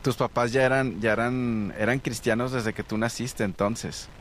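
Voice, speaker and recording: A man's voice: medium pitch, fairly even intonation, moderate pace, a little hiss or hum. A man, asking questions in a casual interview in a friendly, slangy way.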